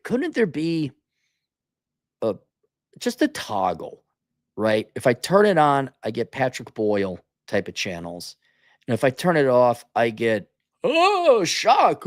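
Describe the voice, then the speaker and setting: An exasperated man riffs as host of a livestream, with a very animated pitch that swoops around. His talk is sarcastic, colloquial and opinionated, and the sound is close and dry. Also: dry recording. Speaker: online commentary host answering viewer comments. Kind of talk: off-the-cuff opinionated livestream rant.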